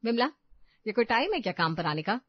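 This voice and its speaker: A woman's voice: fairly high pitch, big pitch swings, brisk, dry recording, lo-fi sound, voice pushed up. Woman acting a haughty housewife, dry close sound. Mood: scolding. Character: bossy middle-class housewife, skeptical employer of a maid.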